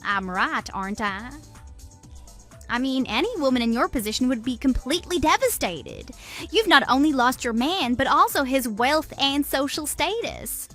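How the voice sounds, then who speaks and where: A woman's voice: sits high, big pitch swings, normal speed, fairly bright tone, soft background music, exaggerated voice. A woman playing a smug, gloating mistress in a radio drama, taunting and boastful in an exaggerated way. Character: smug, gloating mistress who steals other women's men. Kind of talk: scripted radio drama dialogue.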